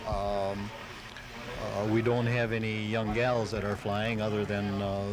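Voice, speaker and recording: A man answering questions in an unscripted live radio interview, explaining things in a halting, hesitant way. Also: lowish voice, level tone, crowd noise. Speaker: club member being interviewed. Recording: noisy home-taped live radio.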